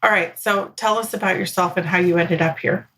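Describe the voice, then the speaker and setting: A woman's voice: lowish voice, steady tone, fairly quick, a bit bright, low-quality recording. An American woman in her forties hosting a conversational interview podcast. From United States. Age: 40s.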